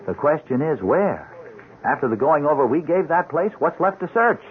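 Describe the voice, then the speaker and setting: An actor playing an earnest, composed police sergeant in a radio drama, explaining things with urgency. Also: male voice, a little high, animated, moderate pace, lightly acted. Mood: earnest, pleading. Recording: narrow-band hissy old radio recording.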